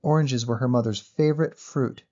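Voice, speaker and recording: A man reading a literary short story as narrator in a measured, descriptive manner. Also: medium pitch, fairly even intonation, dark tone, muffled recording.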